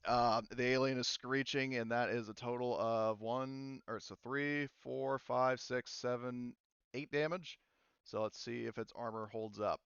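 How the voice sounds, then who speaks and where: A man narrates combat as game master, thinking aloud with plenty of fillers and restarts. His voice is smooth and resonant, recorded dry and a bit muffled. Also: mid-range voice, steady tone, a little slow, a bit bright, dry recording. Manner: rambling, lots of fillers and restarts. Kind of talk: running a tabletop game.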